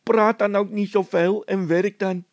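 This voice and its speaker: A man playing a bossy, gruff labourer who snaps at others and scoffs at ghost tales. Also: high voice, steady tone, measured pace, no room sound, voice pushed up. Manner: snappish, bossy.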